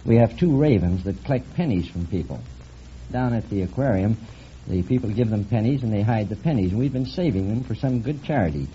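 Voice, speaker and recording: Very mellow, lowish man, old radio. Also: expressive, fairly quick. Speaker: quiz contestant. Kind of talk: conversational interview talk. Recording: dull, hissy vintage radio broadcast.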